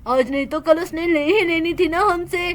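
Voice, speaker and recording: Woman playing an older man, calm and consoling, voice dropped lower. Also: normal speed.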